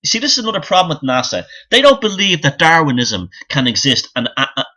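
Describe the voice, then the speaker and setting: A man's voice: a little high, very animated, fast talker, pushed and bright. A man giving an unscripted, opinionated monologue in a blunt, scornful, sweary manner, often repeating phrases for emphasis as he gropes for the next point. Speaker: YouTube commentator and author.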